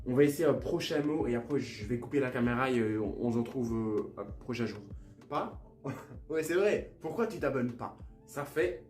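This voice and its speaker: A man's voice: mid-range voice, animated, normal speed, a little mellow. A young man vlogging casually, joking at his own expense and repeating phrases as he tries them out. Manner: playful, self-mocking, repeats phrases over and over. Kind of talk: casual vlog, trying out language-app phrases. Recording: echoey room with faint music.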